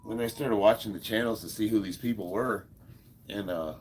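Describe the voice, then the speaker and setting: A man in his fifties with a deep, gravelly voice, stuffed up, chatting casually on a livestream and answering viewer comments with frequent chuckles. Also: normal speed, a little hiss or hum. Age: 50s. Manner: laid-back, chuckles often, many fillers. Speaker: livestream host.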